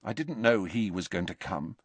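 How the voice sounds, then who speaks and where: A man's voice: low-pitched, lively intonation, moderate pace, dry recording. A man voicing a frightened but defiant teenage boy wizard in a storybook reading. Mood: tense defiant.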